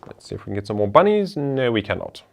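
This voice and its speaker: A man's voice: fairly low pitch, sing-song, fast, vocal fry. A man hosting a board game show, talking through the rules and each move of a solo playthrough in a chatty way.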